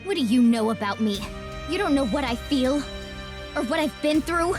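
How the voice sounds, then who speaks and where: A woman's voice: sits high, lively intonation, moderate pace, music in the background. A woman playing a bitter, vengeful young ninja girl, scornful and intense, in an anime game dub. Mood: bitter rage.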